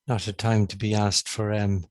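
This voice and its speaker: A middle-aged man with a Cork Irish accent, a stroke consultant giving a remote conference talk over a video-call headset, measured and with frequent fillers. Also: fairly low pitch, level tone, no echo. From Ireland. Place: indoor room, on a video call.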